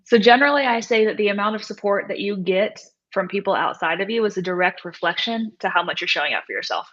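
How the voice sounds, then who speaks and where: A woman's voice: medium pitch, animated, fast, fairly bright tone. A woman guest speaks in a reflective, conversational way and often tags her points with "right?". The recording sounds dull and slightly lo-fi. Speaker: author and coach, guest. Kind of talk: conversational interview.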